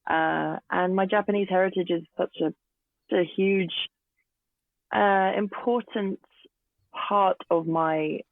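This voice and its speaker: A woman in her late thirties with an English accent, a guest actor, talking casually from a garden shed. Her voice is hoarse from a recent illness, and she hesitates, with many ums and restarts. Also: mid-range voice, steady tone, takes it slowly, pauses often, no echo. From England. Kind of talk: casual interview chat. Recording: tinny phone-like remote line.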